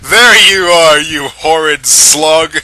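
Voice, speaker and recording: A man voicing a huge, fat, menacing villainous uncle in a loud, drawn-out, threatening way. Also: a little high, pitched above the performer's own voice, heavily acted, low-quality recording.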